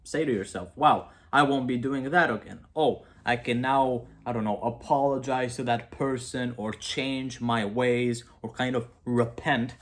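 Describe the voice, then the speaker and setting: A man's voice: mid-range voice, fairly even intonation, unhurried, few pauses. A young man with a Romanian accent, talking casually to camera about philosophy, rambling and self-mocking with frequent asides. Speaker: video creator.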